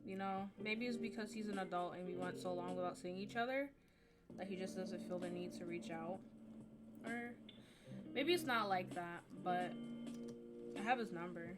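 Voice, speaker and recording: A woman's voice: on the low side, normal speed, pauses often, over loud music. A young woman from Jamaica hosting a podcast in casual chat, talking openly and reflectively and leaning on a lot of likes and you knows. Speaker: podcast host.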